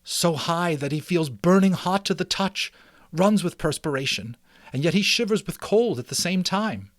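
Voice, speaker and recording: A man's voice: fairly high pitch, lively intonation, normal speed, no echo, studio quality, voice pushed up, lightly acted. A man voicing a worried, polite mother mouse in a storybook reading, earnest and pleading. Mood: anxious. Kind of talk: dramatic storybook character reading.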